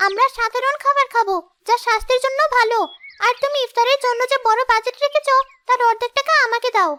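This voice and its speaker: A woman's voice: very high voice, fast talker, pushed and bright, no echo. A woman voicing an earnest young schoolgirl in a cartoon story, with an emotive, scripted delivery. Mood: earnest, pleading. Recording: music behind the voice.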